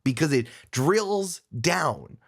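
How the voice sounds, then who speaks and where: A man talking casually as a podcast guest, opinionated and animated, often digressing as he argues his point. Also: a little high, takes it slowly, creaky.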